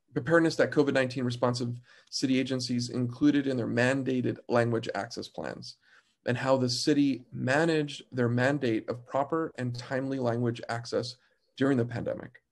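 A man in his thirties giving an earnest, measured opening statement as committee chair over a video call from a home office. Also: mid-range voice.

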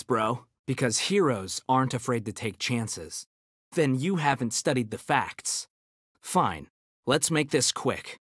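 A man's voice: mid-range voice, a bit bright, no room sound, studio quality. A man voicing a brainy, cocky young ninja turtle in a video game, with an American accent and nerdy, quippy confidence. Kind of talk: video game character battle banter.

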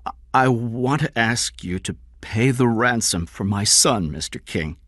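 Audiobook role: defeated male employee acted by a man, imploring, high and emotional, very animated pitch. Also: a little slow, dry recording, studio quality. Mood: halting plea. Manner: pleading, begging. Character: desperate, humble father, a defeated male employee. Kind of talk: audiobook character acting.

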